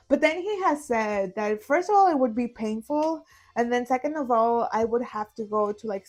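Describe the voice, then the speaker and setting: A woman's voice: on the high side, darkish tone. A young woman, chatting casually and poking fun at herself while she solves a puzzle. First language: Spanish. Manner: chatty and self-deprecating, rambling with fillers. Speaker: vlogger.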